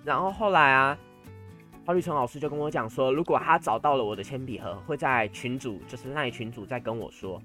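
A young man of high-school age with a Taiwanese Mandarin accent, telling stories casually and playfully as a podcast host, with a touch of drama. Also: a little fast, pushed and bright, faint music behind. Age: teens to young adult, high school senior. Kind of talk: casual storytelling chat.

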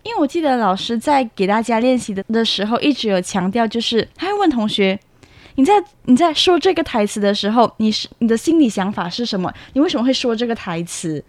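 A young woman with a fairly high voice hosts a conversational interview as a student reporter. She speaks in a friendly exchange with short acknowledgements, and the sound is dry with little room. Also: expressive, fast, no echo. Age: young adult.

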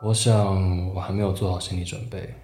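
Male actor playing unsettled fortyish man, soft introspective delivery, Taiwanese Mandarin, lowish voice, radio drama, roomy echo. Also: level tone, fairly quick, lightly acted. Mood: reflective, unsettled. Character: man around forty reuniting with old flame, sensitive, unsettled. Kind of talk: radio drama narration and dialogue. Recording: roomy echo, music behind.

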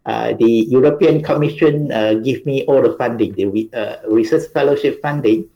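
A man's voice: medium pitch, creaky, lo-fi sound. Hesitant Malaysian man with many fillers. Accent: Malaysian English. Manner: halting, many "uh" fillers and "okay" tags. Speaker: university department chair, guest. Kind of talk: interview conversation.

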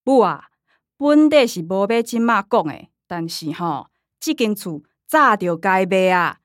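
A woman's voice: medium pitch, animated, measured pace, no room sound, clean studio sound, close to an everyday voice. A woman as a coaxing, exasperated Taiwanese mother. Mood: placating. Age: middle-aged. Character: middle-aged mother, coaxing with daughters, exasperated with grandma. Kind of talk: radio drama acting.

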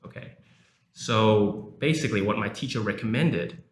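Young man, low-pitched, raised in South Africa, casual chat with fillers, echoey room, faint hiss. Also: normal speed. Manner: frequent fillers, repeats words for emphasis. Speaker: guest, university English lecturer. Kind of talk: casual interview talk.

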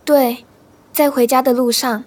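Casting: burdened, scheming schoolgirl for audio drama, high voice, cool but bitter. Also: fairly even intonation, a little slow, darkish tone. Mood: wary. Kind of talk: radio drama acting. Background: faint music.

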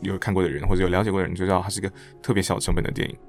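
低沉嗓音、台灣口音的男人. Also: male voice, sits low, level tone, rapid-fire, faint music behind. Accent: Taiwanese Mandarin. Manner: earnest, reflective, explanatory. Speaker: guest, stage director and actor. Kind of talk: casual interview chat, giving advice.

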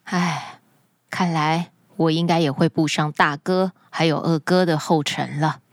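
A woman puts on an earnest young fairy-tale hero. The voice drops low and stays subdued and musing, with a gloomy turn. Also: steady tone, unhurried, dry recording, clean studio sound. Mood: resigned.

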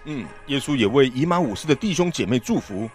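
A man acting a friendly, earnest villager in a radio Bible play. He has a mid-pitched voice that swings widely, hearty and theatrical, over faint music. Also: lively intonation, a little fast, natural voice. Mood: earnest, excited.

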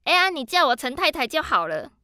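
A chatty nosy auntie. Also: female voice, high-pitched, sing-song, sharp tone, dry recording, clean studio sound, heavily acted. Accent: Taiwanese Mandarin. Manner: chatty, drawn-out fillers, pushy friendliness. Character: nosy chatty middle-aged neighbor woman, committee busybody. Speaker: neighborhood committee member. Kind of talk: radio drama acting.

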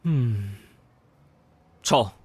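A man's voice: mid-range voice, expressive, very slow, husky, dry recording, full character voice. A man playing a fussy, petulant magazine boss who despises ugliness, in a radio drama. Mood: sullen, disdainful. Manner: melodramatic, petulant.

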